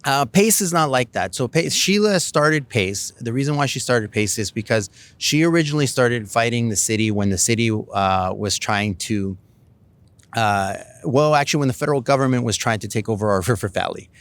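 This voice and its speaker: A man's voice: mid-range voice, moderate pace, fairly bright tone, no echo. A middle-aged Canadian man, a city council candidate, answering interview questions in a rambling way with many fillers and restarts.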